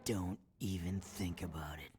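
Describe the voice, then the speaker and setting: An actor playing a gruff, stern male resistance commander in a video game, gravelly, curt and commanding. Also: low voice, steady tone, measured pace, loud music behind the voice. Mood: grave, hushed. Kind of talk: animated video game voice acting.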